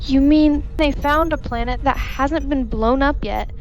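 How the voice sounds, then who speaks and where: A woman's voice: high voice, unhurried. A woman playing a jaded spaceship engineer in a sci-fi radio comedy, dry and sarcastic. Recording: dull, faintly noisy recording.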